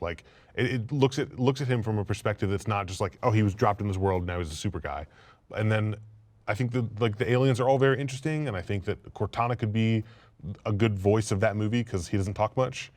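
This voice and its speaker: A man's voice: fairly low pitch, fairly quick, a little mellow, no echo. A man talking informally as a panelist on a casual discussion show. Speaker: panelist on a video game and movie discussion show. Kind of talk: casual chat.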